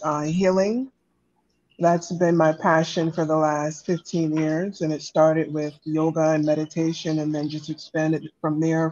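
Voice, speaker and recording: A woman from Jamaica speaks low and calmly as a podcast guest. She reflects as she goes, pausing on "um" between thoughts. The sound is dry and a bit dull. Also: dry recording. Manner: calm, reflective, many "um" pauses. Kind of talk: casual podcast interview.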